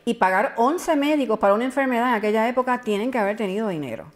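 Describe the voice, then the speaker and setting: A woman's voice: fairly high pitch, animated, quick pace. A woman from Puerto Rico with a Puerto Rican accent, presenting a genealogy talk at a conference in an informal, explanatory way with chatty asides. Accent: Puerto Rican Spanish. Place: conference hall.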